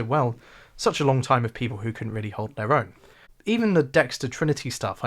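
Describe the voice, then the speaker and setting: Male, RP English, wry sarcastic video essayist, medium pitch. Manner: wry, sarcastic, animated delivery. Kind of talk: scripted video essay narration.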